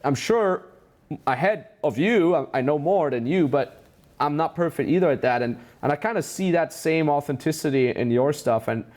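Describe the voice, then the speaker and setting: A man's voice: a little high, animated, normal speed, darkish tone. A man with a German accent hosting a casual interview as a business mentor, enthusiastic and full of praise. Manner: enthusiastic, praising, many restarts.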